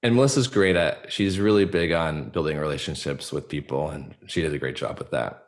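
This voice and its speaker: A man speaking as a guest in a casual interview, earnest and halting, often repeating words and leaning on fillers. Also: rumbling low. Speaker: podcast guest, actor and storyteller.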